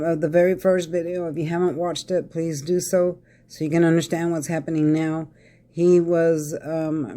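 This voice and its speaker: Woman, raspy, crackly, congested, chatty tarot vlog. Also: lowish voice, warm tone. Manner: chatty, addresses viewers as y'all. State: congested from a head cold. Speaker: tarot reader. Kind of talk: casual psychic reading vlog.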